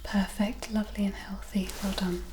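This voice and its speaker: A woman softly whispering a medical ASMR roleplay as a doctor, breathy, gentle and reassuring. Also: medium pitch, flat delivery, moderate pace. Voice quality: breathy, mostly whispered soft voice. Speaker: ASMR performer roleplaying a doctor giving a physical exam. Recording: roomy echo, distant mic, clear background noise.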